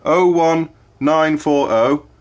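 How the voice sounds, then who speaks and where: A man's voice: fairly high pitch, slow. A man making a casual, hesitant phone call as a caller. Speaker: prank caller. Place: indoors, kitchen worktop. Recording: loud power drill noise over voice. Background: loud electric drill, clicks.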